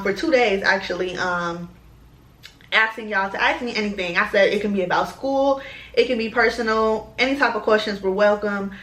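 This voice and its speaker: Casting note: woman, bright voice in an echoey room, loose and chatty. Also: mid-range voice. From Chicago, US. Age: 20s. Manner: chatty, rambling with many ums and slang. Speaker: lifestyle vlogger. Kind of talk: casual chat to camera.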